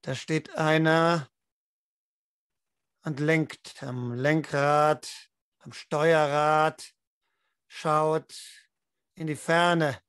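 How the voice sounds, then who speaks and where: A man with a fairly high voice leads a tabletop role-playing session as game master. He takes his time over his words, and the sound is close and dry. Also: little pitch movement, drawn-out words, pauses often, fairly bright tone, clean tone, no room sound. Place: indoor room at home, online video call.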